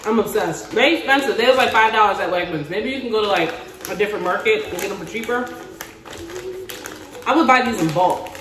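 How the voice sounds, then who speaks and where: A woman's voice: medium pitch, a little fast, reverberant, slight background noise. A woman in her twenties chatting animatedly to the camera in a casual day-in-the-life vlog, filmed in her home kitchen on a camera a few feet away. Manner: chatty and animated, talks to camera with hand gestures. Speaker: vlogger. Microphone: camera on a stand, a few feet away.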